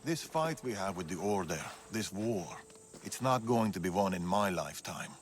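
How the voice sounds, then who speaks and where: An actor playing a gentle, wistful father in a video game, reflective and quietly teasing. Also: deep voice, expressive, moderate pace. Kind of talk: dramatic video game acting.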